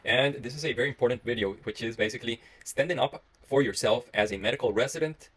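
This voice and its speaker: Fast-talking Costa Rican man, earnest. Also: medium pitch, fairly bright tone, slightly rough. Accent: Costa Rican Spanish. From Costa Rica. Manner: earnest, rambling, many filler uhs and restarts. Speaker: internal medicine resident. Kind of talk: solo reflective talk to camera.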